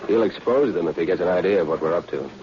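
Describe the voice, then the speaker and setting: Very deep, scheming newsman played by a man. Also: fast talker, natural voice. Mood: scheming, resentful. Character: bitter, scheming male newsman turned traitor. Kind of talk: 1940s radio acting. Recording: muffled vintage radio recording with hiss.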